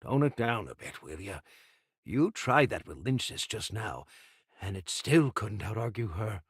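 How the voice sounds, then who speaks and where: A man's voice: fairly low pitch, expressive, measured pace, slightly rough, no echo, clean studio sound, heavily acted. An actor playing a cautious, nervous male pirate sidekick in an anime game, wary and hesitant. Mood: cautioning. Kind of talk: English anime game voice acting.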